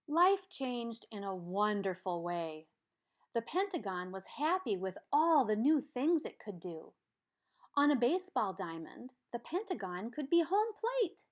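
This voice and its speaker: Female picture-book narrator: slightly high voice, very varied intonation, deliberate emphasis on key words. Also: big pitch swings. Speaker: storybook reader. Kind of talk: expressive children's read-aloud narration.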